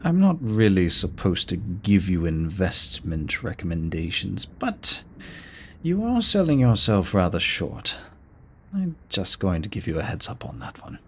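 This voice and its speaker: A man's voice: low-pitched, huge pitch swings, a little hiss or hum, heavily acted. A man voicing a seductive, courteous immortal incubus demon in a roleplay audio drama, speaking to the listener in a silky, theatrical way. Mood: coaxing, intrigued. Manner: slow, silky, theatrical, courteous with drawn-out words. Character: seductive, teasing male incubus demon, immortal deal-maker.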